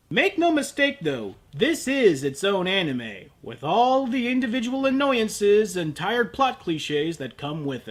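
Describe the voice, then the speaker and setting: A man hosting a scripted review show in a high voice with very lively intonation. His delivery is sardonic and emphatic, pitched as comedy commentary. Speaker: anime review show host.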